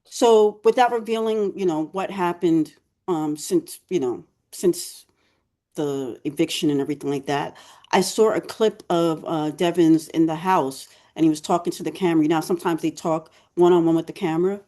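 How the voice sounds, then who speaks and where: A woman co-hosting a podcast in a casual, rambling chat, her voice going hoarse as she keeps clearing her throat. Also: low-pitched, moderate pace, mellow. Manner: chatty, rambling, many fillers. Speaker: podcast co-host.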